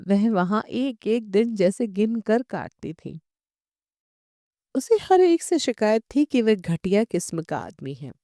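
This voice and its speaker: A woman reading a literary short story as an audiobook narrator, in measured, expressive storytelling. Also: mid-range voice, no room sound, studio quality.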